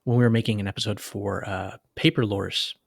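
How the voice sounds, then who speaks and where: A man's voice: on the low side, steady tone, brisk, gravelly, no echo, studio quality. A man co-hosting a podcast, talking in a casual, chatty way and often hesitating mid-thought as he works out what to say. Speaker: podcast co-host and reader.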